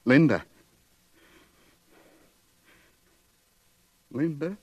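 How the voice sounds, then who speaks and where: A man's voice: fairly high pitch, expressive, moderate pace, breathy edge, dull audio. An actor in a mid-century radio drama playing a tormented young man raised as a savage, declaiming theatrically as if speaking verse. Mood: grieving, pleading.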